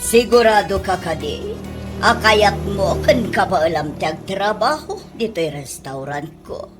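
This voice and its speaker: An actress plays a stern but kindly older restaurant boss in an Ilocano radio comedy. Her mid-pitched voice moves animatedly, carrying an Ilocano accent over clear background music. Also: lively intonation, unhurried, lightly acted. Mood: businesslike. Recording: music bed under radio drama dialogue.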